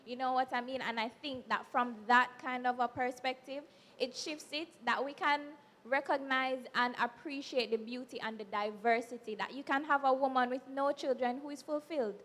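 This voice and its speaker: Young woman panelist, kinda high voice, calm and convincing, echoey hall, some noise. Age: young adult. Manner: measured, persuasive. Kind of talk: live panel discussion. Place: event hall, live audience. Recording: hall reverb with faint noise.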